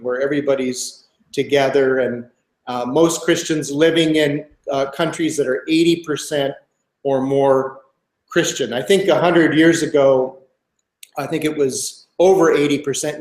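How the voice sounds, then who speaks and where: Brief: man in his 60s from Minnesota, interview guest, thoughtful and hesitant, slightly high voice. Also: roomy sound, poor audio. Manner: thoughtful, many fillers and restarts. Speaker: guest author, professor. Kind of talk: relaxed interview answer.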